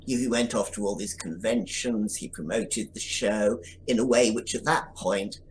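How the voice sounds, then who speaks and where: A man's voice: fairly low pitch, normal speed, a little hiss or hum, poor audio. A man talking reflectively as a writer interviewed on a podcast, thinking aloud with restarts. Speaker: writer of an audio drama.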